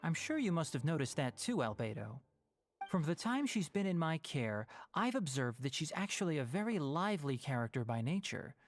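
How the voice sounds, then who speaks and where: A man's voice: a little high, sing-song, moderate pace, faint music behind. A man playing a long-suffering, caring young guardian in a video game, articulate and pointed. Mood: concerned, pointed. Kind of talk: scripted video game dialogue.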